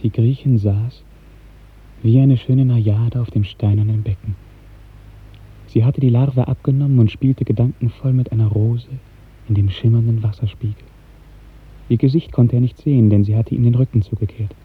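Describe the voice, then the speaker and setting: A man narrating a literary 1950s radio drama in a measured, precise storytelling manner. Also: mid-range voice, steady tone. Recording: narrow-band 1950s radio recording, faint music.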